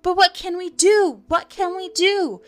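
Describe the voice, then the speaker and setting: A woman voicing eager, simple young boys in a storybook, with a breathless, excitable manner. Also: sits high, moderate pace, voice pushed up. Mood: panicked.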